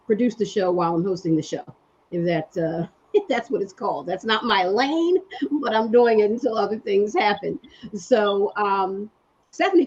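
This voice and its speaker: A woman's voice: mid-range voice, a little mellow, slightly rough, highs cut off. A woman hosting and producing a live online panel show, chatty with lots of fillers, speaking over a video call. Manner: friendly, chatty with fillers, multitasking. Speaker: host and executive producer.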